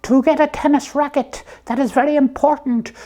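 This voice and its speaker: A woman voicing an excitable puppet in a comic show, telling stories in a rambling, animated way with acted-out dialogue. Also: sits high, moderate pace, natural voice. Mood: chatty, eager. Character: excitable chatty storytelling puppet reviewing films.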